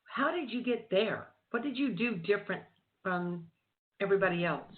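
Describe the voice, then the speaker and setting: A woman's voice: mid-range voice, animated, normal speed. Woman host asking questions over a phone line. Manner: friendly, leading questions with tag questions. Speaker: interview host. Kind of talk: phone interview.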